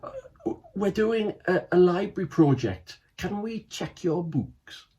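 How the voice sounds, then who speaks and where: Guy doing a flustered schoolboy, breathless, voice jumping up high. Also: animated, measured pace, warm tone. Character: excitable schoolboy hero, young and urgent.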